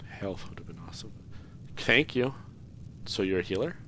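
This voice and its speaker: A man's voice: fairly low pitch, animated, normal speed, a bit bright. A man giving casual let's play commentary in mild, understated asides. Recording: game ambience noise behind commentary. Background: video game ambience.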